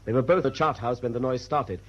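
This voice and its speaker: An actor playing a dutiful, deferential ship's first officer in a radio drama, reporting crisply and obediently to his superior. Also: mid-range voice, fairly quick, lightly acted. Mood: matter-of-fact. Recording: noisy, dull radio drama recording.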